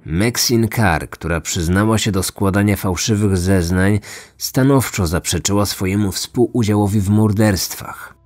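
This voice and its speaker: Low-voiced male true-crime narrator. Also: unhurried, a little mellow. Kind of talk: scripted narration. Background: faint music.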